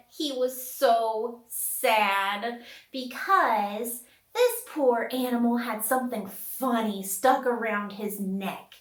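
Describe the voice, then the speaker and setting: Slow, high-voiced woman hosting children's storytime. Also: fairly bright tone, reverberant. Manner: exaggerated, sing-song, asks questions with dramatic gasps and pauses. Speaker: children's storytime host with puppets. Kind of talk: interactive storytelling to young children.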